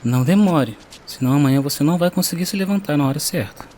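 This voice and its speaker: A man voicing a stern but caring father in an audio drama, speaking in a hurried, admonishing way. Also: a little high, expressive, darkish tone, slight background noise, pitched above the performer's own voice, close to an everyday voice.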